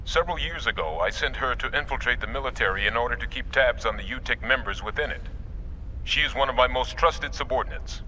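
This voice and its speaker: An actor playing a composed older government statesman in a video game, with calm, courteous and formal speech. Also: medium pitch, a little fast, a little hiss or hum, poor audio.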